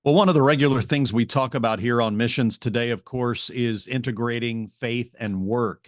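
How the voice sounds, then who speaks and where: A man hosting a faith radio interview program, talking in a relaxed conversational way with occasional filler sounds. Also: medium pitch, dry recording, clean studio sound. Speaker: radio show host.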